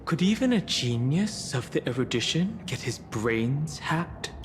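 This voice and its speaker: A man's voice: mid-range voice, very animated, unhurried, slight background noise. A male voice actor playing a stern, proud, distrustful lord in a video game, speaking in a terse, controlled, accusing way. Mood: challenging. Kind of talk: scripted video game dialogue.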